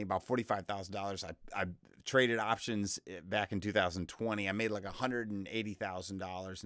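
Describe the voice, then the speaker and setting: A young man with a deep voice giving a casual, rambling screen-share tutorial on freelance selling, often interrupting himself with upbeat advice. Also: fairly bright tone, no echo, studio quality. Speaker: voice-over freelancer teaching online selling.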